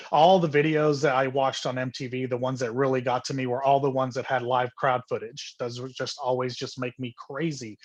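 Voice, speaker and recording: A middle-aged man from Honduras, guesting on a video call. He tells his story in a relaxed, earnest way, with many "um"s and repeated words. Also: medium pitch. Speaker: guest singer. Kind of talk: casual interview storytelling.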